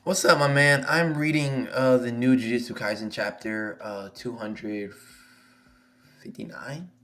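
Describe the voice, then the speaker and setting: A hoarse young man on a live stream, reading a manga chapter aloud and reacting excitably, swearing freely. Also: mid-range voice, a little slow. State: hoarse, losing his voice. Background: faint music.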